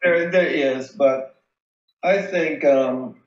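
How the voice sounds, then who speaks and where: An American man giving a casual phone interview as a local resident, halting as he searches for words. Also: a little high. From United States. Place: remote phone call. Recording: dull, echoey phone call.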